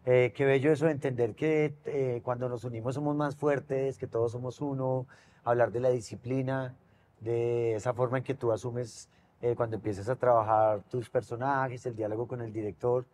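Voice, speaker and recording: A Colombian man in his thirties hosts a relaxed-format interview with a fellow actor. He speaks conversationally at a medium pitch. Light ambient noise is audible behind him. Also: moderate pace. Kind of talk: casual interview hosting. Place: large glass-walled event space with seated audience. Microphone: lapel mic. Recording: noticeable background ambient noise.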